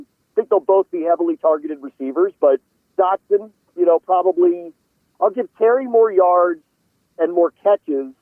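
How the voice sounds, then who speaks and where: A man giving conversational football analysis as a guest, measured and opinionated, qualifying his points as he goes. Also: on the high side, no room sound. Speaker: sports analyst interviewed as a guest. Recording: phone-like radio call audio.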